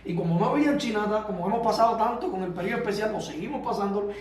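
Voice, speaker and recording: A man's voice: high voice, very fast, a little hiss or hum. A Cuban man hosting a radio interview, asking long, winding questions and interjecting. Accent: Cuban Spanish. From Cuba. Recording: echoey room, distant mic.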